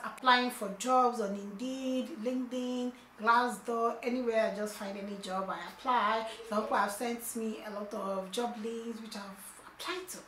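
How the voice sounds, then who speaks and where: Vlogger, young woman, fairly high bright voice, chatty and repetitive, echoey room with faint noise. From not from China. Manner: chatty, repeats "okay?" and "you guys". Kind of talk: casual vlog update to viewers.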